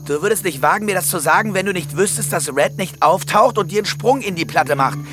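A man's voice: high-pitched, expressive, fast talker, over music. An actor playing a coarse, suspicious, bullying man in a German audio drama. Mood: suspicious, needling.

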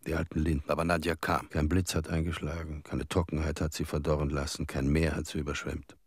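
Radio drama: mournful male witness, bottom-deep pitch, solemn and spare, dry close sound. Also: level tone, a little fast, breathy edge, no echo.

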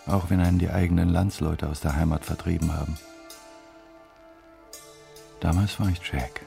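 An old émigré's bitter radio-play monologue. The voice is very low, heavily creaky and dark, brooding inwardly. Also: male voice, steady tone, moderate pace. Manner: brooding, reflective. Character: bitter, brooding old German-born émigré recalling childhood fear. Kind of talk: inner monologue, radio drama. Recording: soft music bed underneath. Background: faint music.

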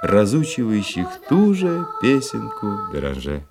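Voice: medium pitch, huge pitch swings, measured pace. A narrator reading the scripted stage narration of a radio play. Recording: loud music behind the voice. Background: loud music.

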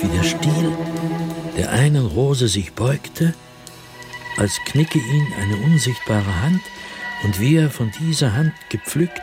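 An actor playing a proud, agitated intellectual gentleman in a radio drama, reading his diary as a brooding, intense, theatrical monologue. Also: on the low side. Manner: brooding, intense, theatrical, with sudden swings in emphasis. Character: haunted, agitated gentleman diarist, proud intellectual sliding into fear.

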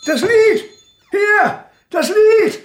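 An actor playing a gruff shopkeeper father who swings theatrically between suspicious bluster and fawning servility. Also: extremely high pitch, lively intonation, very slow, faint music behind, full character voice. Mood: loud, urgent. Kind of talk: theatrical radio drama.

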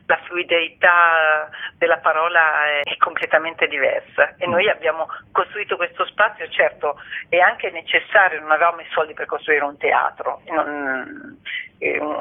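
Woman theatre artist on a tinny phone. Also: low-pitched. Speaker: theatre artist, interview guest. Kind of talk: radio phone interview. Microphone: telephone. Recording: narrow, tinny telephone line.

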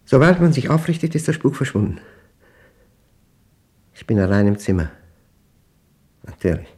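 An actor in a radio drama playing a paranoid, obsessed man who records his halting, fragmented, intense monologue as he battles a machine he believes controls him. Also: mid-range voice, very animated, warm tone, husky. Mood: haunted, uneasy.

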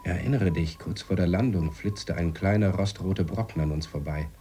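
A man's voice: deep voice, moderate pace, warm tone, faint music behind, lightly acted. An actor playing a sardonic, worried astronaut who monitors his partner in a German science-fiction radio play, speaking in a dry, sceptical, pressing way. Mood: concerned, sardonic.

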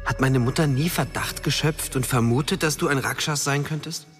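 A man's voice: medium pitch, normal speed, lightly acted. Man playing a wary, questioning son over music. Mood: wary, reflective. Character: demon warlord's grown son, probing, wary listener. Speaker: actor in a radio play. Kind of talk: German fantasy radio drama dialogue. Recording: music under the voice.

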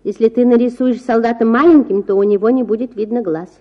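A woman's voice: a little high, fairly even intonation, quick pace, natural voice. A chatty little boy from a storybook , acted out by a woman narrator. He prattles earnestly and naively in a singsong way. The sound is slightly dull. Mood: matter-of-fact.

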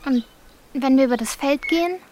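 Woman doing a small nervy young girl, really high plaintive voice, radio drama, buggy background noise. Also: moderate pace, darkish tone, close to an everyday voice. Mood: anxious. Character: small, childlike thirteen-year-old girl, anxious but stubborn. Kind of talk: German radio play acting.

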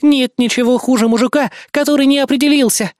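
Narrator acting a angry young lady cop, way high pitched, snappy and scornful, audiobook character, dry sound. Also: steady tone, quick pace, darkish tone, no room sound, natural voice. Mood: indignant. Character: furious sharp-tongued young woman officer, snarling and indignant.

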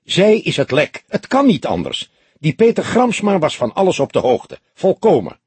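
Guy doing a stubborn hothead young cop for an audiobook, indignant, pitch jumping around, husky. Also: huge pitch swings, normal speed, a little mellow, no room sound, full character voice. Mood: fierce, insistent. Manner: indignant, insistent, emphatic. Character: hot-headed, stubborn young male police detective. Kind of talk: audiobook character voice.

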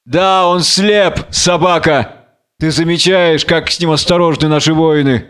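A man's voice: on the high side, steady tone, a little slow, fairly bright tone, clear, resonant tone. A man reading the part of a commanding, scheming Philistine lord, imperious and falsely solicitous, in a biblical tragedy. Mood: scornful musing.

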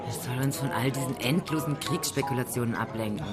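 A woman's voice: very deep voice, fast, over music, a crowd in the background. An actress playing an opinionated, methodical female amateur sleuth in a German radio play, declamatory and pedantic. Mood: intrigued.

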